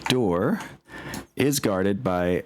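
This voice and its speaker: Deep-voiced man running a tabletop game. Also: expressive, very slow, a little mellow. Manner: informal, thinks aloud. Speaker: game master.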